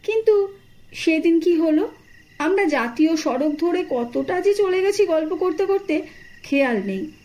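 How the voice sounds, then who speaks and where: High, animated woman narrating a horror story. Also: a little slow. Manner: expressive, dramatic storytelling. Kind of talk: scripted horror story narration in first person. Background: ambient sound effects.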